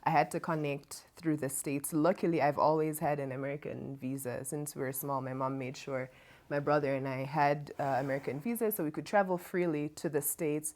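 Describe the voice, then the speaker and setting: Low-pitched Jamaican woman, chatty. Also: fairly even intonation, fairly quick, a little mellow. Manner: chatty, laughs easily. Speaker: co-host. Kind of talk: casual chat.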